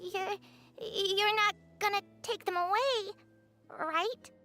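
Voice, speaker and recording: Tiny, very high-pitched childlike creature. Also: female voice, steady tone, slow pace, over music. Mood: timid. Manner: polite, pleading, innocent. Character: small earnest female Melusine creature, childlike and hopeful. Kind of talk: video game voice acting.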